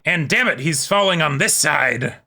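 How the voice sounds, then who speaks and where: A man's voice: fairly high pitch, animated, normal speed, creaky, pushed and bright, soft background music. A man co-hosting a reaction show, chatting casually and often agreeing in short interjections.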